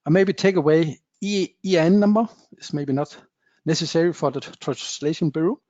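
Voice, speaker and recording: Man giving a software demo. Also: on the high side, a little fast, dry recording. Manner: plain explanatory talk with frequent uh fillers, non-native phrasing. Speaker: software presenter. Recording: dull dry webinar audio.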